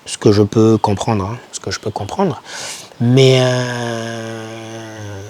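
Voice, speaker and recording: A man's voice: lowish voice, little pitch movement, slow, darkish tone, a little hiss or hum. A young man giving informal motivational advice to camera, speaking emphatically and repeating key words to encourage the listener. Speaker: YouTuber advising foreign students.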